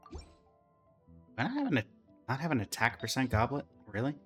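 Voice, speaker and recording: A man's voice: medium pitch, huge pitch swings, brisk, a bit bright. A man giving casual, offhand commentary as he plays a game, thinking aloud and reading dialogue. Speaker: gaming reaction streamer. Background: game music.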